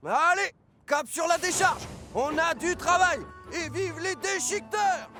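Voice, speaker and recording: Scruff, a very high, cheerful engine. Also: male voice, unhurried, over music. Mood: cheerful, keen. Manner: enthusiastic, good-natured. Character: cheerful carefree grubby male garbage engine, Scruff. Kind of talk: French cartoon dub acting.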